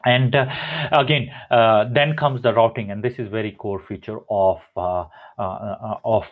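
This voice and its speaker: A man's voice: fairly low pitch, steady tone, moderate pace. A man presenting a technical webinar over slides, explaining step by step with many fillers and restarts. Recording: tinny phone-like audio.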